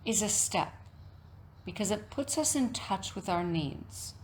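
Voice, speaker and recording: A woman teaching yoga nidra, giving a reflective guided meditation talk in measured, deliberate phrases with frequent pauses. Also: medium pitch, lively intonation, a little mellow, slight background noise.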